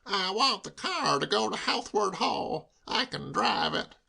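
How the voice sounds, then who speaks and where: A man voicing a breathless, desperate man who croaks out urgent demands in a strained, raised voice. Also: sits high, expressive, a little slow, sharp tone, pitched above the performer's own voice, exaggerated voice. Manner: croaking, pleading.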